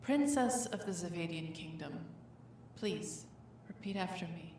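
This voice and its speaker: A woman playing a calm, formal royal wedding officiant in an audio drama, speaking in measured, solemn phrases. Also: on the low side. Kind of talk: audio roleplay drama acting. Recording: echoey, distant mic, faint noise.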